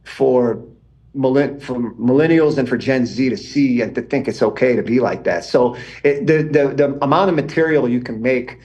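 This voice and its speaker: A man in his forties talks in a loose, unhurried ramble. He keeps dropping "man" and "you know" between thoughts, and his voice sits at an ordinary middle pitch. The sound comes over a remote video call. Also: a little mellow, dull audio. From Israeli and Iranian background. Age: 40s. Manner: laid-back, fills speech with "man" and "you know". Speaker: podcast guest. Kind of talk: casual conversation. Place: home in Germany, remote call.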